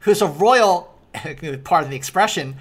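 A woman hosting a casual film podcast, arguing in a halting but insistent way. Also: fairly low pitch, animated. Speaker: podcast host. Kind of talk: casual movie debate.

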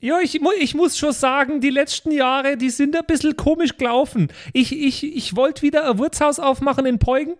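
A man voicing a hapless, plaintive innkeeper who grumbles haltingly about bureaucracy. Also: very high-pitched, steady tone, pitched above the performer's own voice. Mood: plaintive grumbling.

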